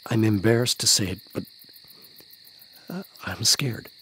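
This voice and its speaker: A man's voice: on the low side, measured pace, fairly bright tone, slightly rough, full character voice. An actor playing an anxious, devout father of young children in a family audio drama. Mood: uneasy, reluctant.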